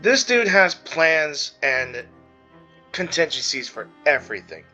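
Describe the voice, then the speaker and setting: Lively, bright-voiced gamer on a lo-fi mic. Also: mid-range voice, takes it slowly. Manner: slangy, informal. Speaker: gamer commentating on a playthrough. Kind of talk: casual gameplay commentary. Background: game music.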